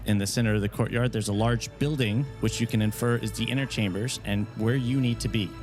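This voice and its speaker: A man running a tabletop game as game master, setting scenes in a steady, descriptive way. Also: on the low side, a little fast, music in the background.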